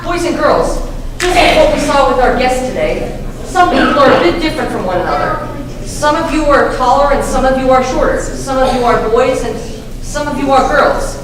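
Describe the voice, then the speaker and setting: A woman's voice: high-pitched, expressive, moderate pace, a crowd in the background. A woman playing an upbeat, teacherly puppet host who encourages children in a scripted show. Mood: encouraging. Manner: teacherly, addresses audience with questions. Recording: echoey, noisy hall from far off.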